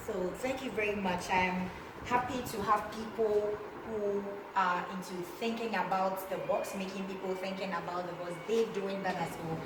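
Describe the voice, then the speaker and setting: Woman hosting a science chat, friendly, kinda far from the mic in a boomy room with noise. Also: steady tone, moderate pace. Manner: friendly, laughs between remarks. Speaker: moderator of a science cafe. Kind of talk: casual event moderation. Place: room with a seated group. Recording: echoey room, distant mic, background noise.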